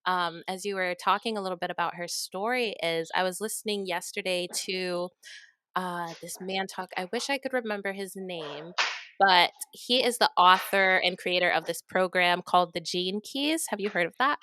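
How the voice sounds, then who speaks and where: A woman's voice: lowish voice, normal speed, pushed and bright, clear, resonant tone, dry recording. A woman hosting a podcast, chatting in an effusive, gushing way with lots of "like" fillers. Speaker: podcast host. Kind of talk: casual interview chat.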